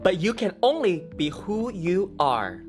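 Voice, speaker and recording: A woman plays a loving storybook mother comforting a young child. The delivery is tender and singsong, unhurried, with melodic rises and falls. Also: fairly low pitch, very animated, darkish tone, faint music behind, pitched above the performer's own voice. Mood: gentle, reassuring. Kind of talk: storybook character voice.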